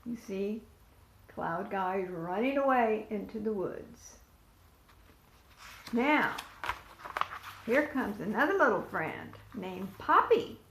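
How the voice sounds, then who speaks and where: A woman reads a picture book to small children at a very slow, deliberate pace, her fairly high voice rising and falling with lots of expression. She stops to ask questions and point at the pictures. The room is echoey. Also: animated, creaky, fairly bright tone, slight background noise. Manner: asks young listeners questions and points to pictures. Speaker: volunteer storyteller. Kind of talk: picture-book read-aloud for preschoolers.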